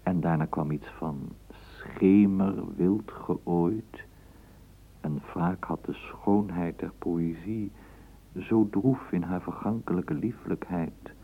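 An actor narrating a literary radio play as a measured, lyrical male storyteller. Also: low-pitched, gravelly, breathy edge, exaggerated voice. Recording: muffled, noisy old radio play recording.

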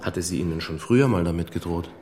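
A controlled police lieutenant, acted by a man, leads an interrogation in a radio drama. His voice is on the low side, matter-of-fact and persistent. Ambient noise is clearly present. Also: fast talker, darkish tone, natural voice. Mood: probing. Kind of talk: naturalistic radio drama acting.

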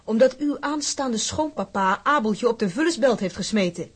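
An actress playing a feisty, proud working-class wife in a radio drama, fiercely loyal, blunt and sardonic. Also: female voice, fairly high pitch, expressive, normal speed, breathy edge. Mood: scornful.

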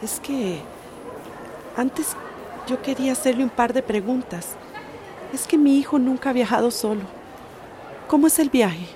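A woman's voice: high voice, a little slow, long pauses, dark tone, a little hiss or hum. An actress playing an anxious, timid mother in a radio drama, hesitant and full of worried questions.

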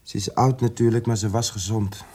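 A man's voice: on the low side, steady tone, fairly quick, warm tone, breathy edge. A man acting in a radio drama as a defiant, distressed activist prisoner, speaking in a terse, guarded, emotional way.

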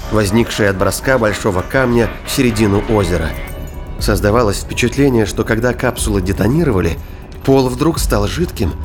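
A man giving scripted, dramatic narration for a science fiction audio drama. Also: medium pitch. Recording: studio narration over music. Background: clear music.

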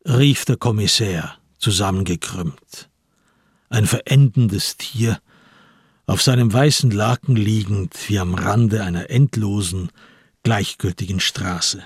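A man narrating a crime radio play as a grave storyteller, in scripted literary prose with a husky voice. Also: lowish voice, slow pace, no room sound, clean studio sound, heavily acted.

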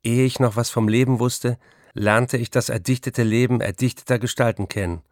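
An actor playing a reflective writer who recalls his bookish childhood in measured, literary phrases for a radio play. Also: mid-range voice, fairly even intonation, no room sound, clean studio sound, natural voice. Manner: measured, literary first-person recollection.

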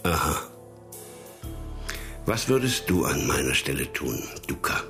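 An actor playing an emphatic, indignant senior police official in a radio crime drama, with music behind him. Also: male voice, extremely low pitch, very animated, measured pace, sharp tone, full character voice. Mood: skeptical.

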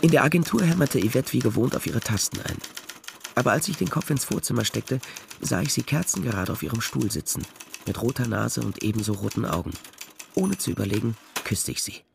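An actor playing a wry, worldly private detective, narrating a radio crime drama with dry understatement. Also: male voice, mid-range voice, expressive, fairly quick, creaky, a little hiss or hum. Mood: narrating, restrained. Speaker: narrator and lead character.

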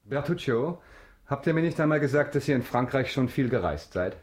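A man's voice: medium pitch, animated, fairly quick, a little mellow, lightly acted. An actor playing a commanding aristocratic count in a German radio drama, polished, ironic and probing in his questions. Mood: cool, commanding.

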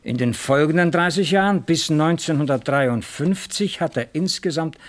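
An actor playing a puzzled, slightly defensive East German factory manager in a radio crime drama, with a husky voice and hesitant, earnest manner. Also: male voice, a little high, moderate pace, lightly acted. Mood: puzzled, matter-of-fact.

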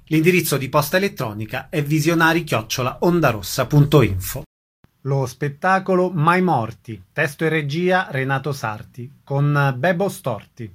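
A man reading a scripted radio programme announcement in a measured, informative way. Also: a little high, lively intonation.

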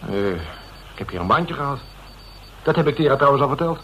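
An actor playing a streetwise, defensive suspect in a Dutch radio drama, talking in a colloquial, sardonic way that turns hesitant when cornered. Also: male voice, mid-range voice, animated, brisk, sharp tone. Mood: defensive, evasive. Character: cynical, evasive male suspect, streetwise and defensive. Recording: noisy old radio drama recording.

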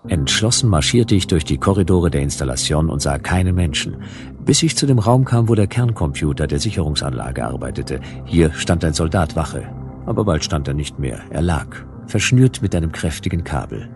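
A noir detective telling his own story in a radio drama. The voice is extremely low, laconic and deadpan, with music running clearly underneath. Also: normal speed, full character voice. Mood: dry, deadpan. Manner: dry, deadpan. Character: laconic male hard-boiled detective narrating his case. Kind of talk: noir first-person radio play narration.

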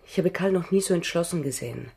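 An anxious but determined woman in a spy radio play. Her voice is low, dark and husky, and she speaks with secretive, pleading urgency. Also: expressive, fast talker, exaggerated voice. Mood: grave urgency. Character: anxious, determined woman hiding a dangerous past. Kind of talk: radio drama acting.

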